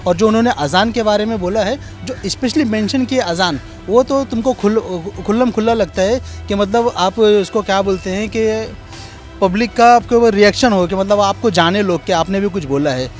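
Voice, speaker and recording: A man's voice: high-pitched, fairly quick, faint music behind. A man with an Indian accent arguing his opinion emphatically and repetitively in a street interview. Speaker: member of the public giving opinion. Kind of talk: argumentative vox pop opinion.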